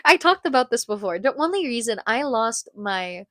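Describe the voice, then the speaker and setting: Woman streamer from the Philippines with a high-leaning voice, loose rambling chat full of restarts and laughs, dry sound. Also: big pitch swings, moderate pace, a bit bright, no echo. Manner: rambling, self-interrupting, laughs often. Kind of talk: casual live-stream chat.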